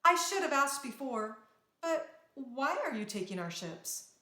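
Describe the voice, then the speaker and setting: Woman reading as young storybook princess, brave, earnest, commanding, high bright voice, roomy echo. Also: sing-song, unhurried, full character voice. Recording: roomy, echoing sound.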